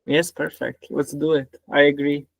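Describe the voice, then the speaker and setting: Czech-accented man hosting, slightly high voice. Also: flat delivery, moderate pace, gravelly, no room sound. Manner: repeats himself, simple phrasing. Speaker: podcast host. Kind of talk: casual interview talk.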